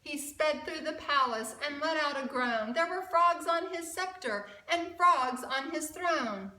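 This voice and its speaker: A woman reads a children's story aloud in a bright, slightly high voice. She is expressive and takes her time, addressing young listeners directly. The recording is roomy, with the mic at some distance. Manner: expressive, deliberate, asks listeners questions. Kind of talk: animated picture-book read-aloud.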